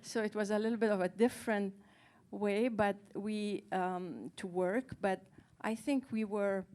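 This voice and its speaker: A woman co-translator who is a native Farsi speaker, talking on a panel, hesitant and pausing often to search for words. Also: mid-range voice, fairly even intonation, darkish tone. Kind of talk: casual panel talk.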